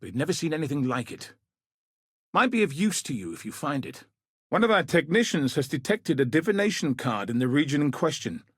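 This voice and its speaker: Husky, weary veteran commander. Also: male voice, on the high side, very animated, a bit bright, no echo, exaggerated voice. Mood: businesslike, wary. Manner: measured, somber, dry, world-weary. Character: weary, battle-scarred veteran commander, gruff, grave and plain-spoken. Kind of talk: video game character dialogue.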